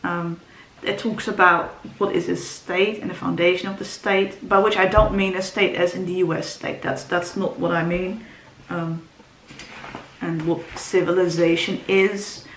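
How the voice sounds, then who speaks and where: Young woman, rambling book chat, lots of ums, lowish voice, roomy echo. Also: flat delivery, measured pace. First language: Dutch. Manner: rambling, frequent ums and restarts. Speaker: book vlogger. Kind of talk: casual book talk to camera. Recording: roomy echo, faint ambience.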